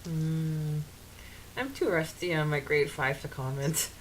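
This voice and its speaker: A woman co-hosting a podcast in casual, halting, rambling conversation full of fillers. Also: deep voice, a little hiss or hum, low-quality recording. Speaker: podcast co-host.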